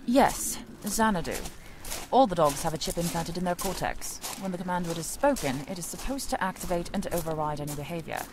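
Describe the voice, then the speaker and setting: Woman acting a prim, lowish-voiced research director. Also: animated, brisk, noisy background, natural voice. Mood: officious, defensive. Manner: formal, officious. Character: prim, rule-bound female research project director. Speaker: actor in audio drama. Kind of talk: audio drama dialogue.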